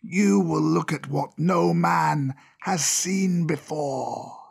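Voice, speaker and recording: Ancient menacing cobra, agonizingly slow. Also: male voice, a little high, creaky, husky, voice pushed up, exaggerated voice. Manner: slow, drawn-out, ominous delivery. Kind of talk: storybook character voice.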